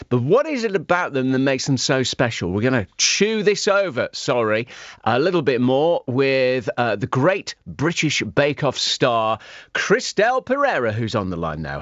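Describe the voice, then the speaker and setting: A hoarse man presenting a radio show, chatting playfully with a guest. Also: mid-range voice, dry recording. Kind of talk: casual radio chat. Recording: slightly dull lo-fi sound.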